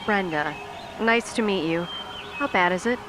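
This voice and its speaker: A woman's voice: medium pitch, unhurried, lightly acted. An actress playing an anxious, courteous woman emergency operator in a radio drama. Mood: worried. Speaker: 911 operator. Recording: clearly noisy background ambience.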